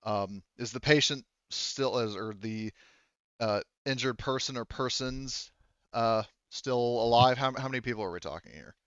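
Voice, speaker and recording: A man plays a formal, probing female science officer in a tabletop game. The voice is smooth and mid-low, halting between questions, on dry and slightly dull audio. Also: a bit bright, no echo, natural voice. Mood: businesslike.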